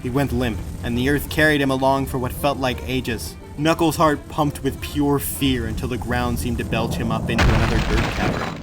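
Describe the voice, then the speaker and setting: A man's voice: mid-range voice, fairly even intonation, normal speed, few pauses. A man reading scripted story narration as a dramatic audiobook narrator. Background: music.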